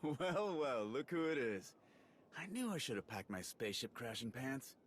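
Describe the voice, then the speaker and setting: A man's voice: medium pitch, big pitch swings, normal speed, a little hiss or hum, natural voice. An actor playing a wisecracking, easygoing male pilot and fighter in a game cutscene, with a wry, casual way of talking. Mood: teasing. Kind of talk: scripted game cutscene acting.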